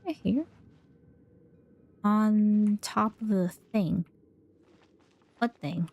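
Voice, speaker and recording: Slow-talking woman streaming a game. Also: mid-range voice, darkish tone, lo-fi sound. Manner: reactive, murmuring to herself in short phrases. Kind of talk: casual playthrough commentary, reading game text aloud.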